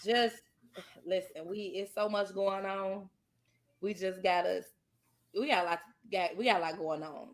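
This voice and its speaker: A woman with an American accent talking casually as a podcast co-host, haltingly working out her thoughts aloud. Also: mid-range voice. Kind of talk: casual chat.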